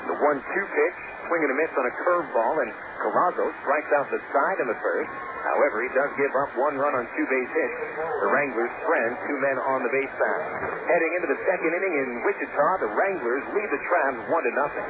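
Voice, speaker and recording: Man calling baseball on tinny radio. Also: medium pitch. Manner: steady, measured play-by-play with brief corrections. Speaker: radio play-by-play announcer. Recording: tinny AM radio broadcast with loud hiss.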